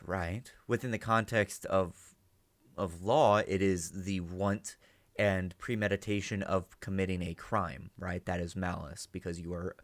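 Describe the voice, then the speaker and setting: Low-voiced man reacting and analysing. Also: no echo. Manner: animated, thinking aloud with rhetorical check-ins. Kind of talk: casual reaction commentary.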